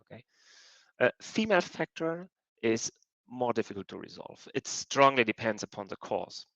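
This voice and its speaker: A man's voice: medium pitch, lively intonation, normal speed, creaky, a bit bright, dry recording, lo-fi sound. A man with a German accent, a fertility doctor giving a webinar talk, explaining steadily with many ums and restarts. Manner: explanatory, hesitant with many fillers. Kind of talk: webinar presentation.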